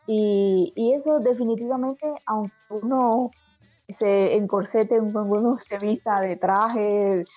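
Husky-voiced Caribbean Colombian woman. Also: medium pitch, fairly even intonation, takes it slowly, dry recording, lo-fi sound, faint music behind. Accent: Colombian Caribbean with Spanish influence. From Barranquilla, Colombian Caribbean. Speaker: interview guest. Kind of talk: conversational anecdotal interview.

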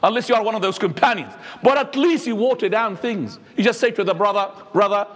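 A man, preaching a sermon as an imam, swinging between high and low. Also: a little fast, a bit bright. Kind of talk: Friday sermon. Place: mosque. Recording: echoey hall.

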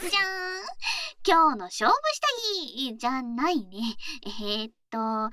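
A woman acts out a young, athletic girlfriend with a high, sweet voice, very dry and close to the ear. She pampers and gently teases her lover in an ASMR voice drama. Her speech is breathy and often drops into soft whispers. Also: no echo, heavily acted. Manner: coaxing, playfully scolding, pampering. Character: caring, teasing young athletic girlfriend doting on her exhausted coach. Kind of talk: Japanese ASMR situation voice drama, close and intimate.

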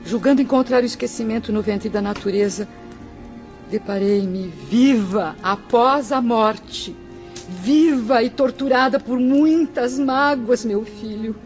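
An actress playing the sorrowful spirit of an old mother in a radio drama, mournful, solemn and drawn-out. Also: on the high side, darkish tone. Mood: tormented. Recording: dull radio drama recording with music.

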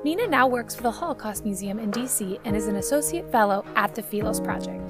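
A woman's voice: a little high, animated, fast, loud music behind the voice. A woman hosting a podcast, reading a scripted introduction and then putting questions to her guest. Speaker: podcast host.

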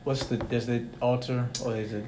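A young man with a mid-range voice talks through an old memory before turning to his questions. He rambles gently and reflects as he goes. A faint hiss sits under the recording. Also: medium pitch, flat delivery, normal speed. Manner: rambling, reflective, soft-spoken. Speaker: interviewer. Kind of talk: casual conversational interview.

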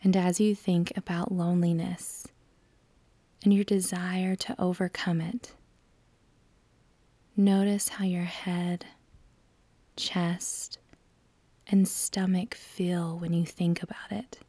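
A woman with a soft, breathy, near-whispered voice leads a guided meditation, calm and soothing, with long measured pauses. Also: lowish voice, no room sound.

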